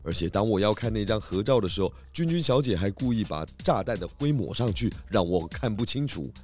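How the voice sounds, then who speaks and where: A man playing a confident, clever detective in a children's radio drama, explaining his case deliberately. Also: lowish voice, animated, darkish tone, soft background music, close to an everyday voice. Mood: accusing. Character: confident, clever male detective unmasking culprits.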